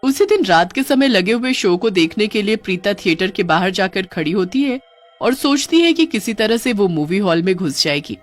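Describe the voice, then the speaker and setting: A woman's voice: mid-range voice, big pitch swings, normal speed. Woman narrating a cartoon story over music. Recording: music under the voice.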